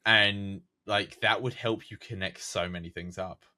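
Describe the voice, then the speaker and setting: Low-voiced young man, rambling chat. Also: steady tone, measured pace, bright, forceful tone. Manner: rambling, many fillers and restarts. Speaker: podcast guest, game map creator. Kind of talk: casual chat.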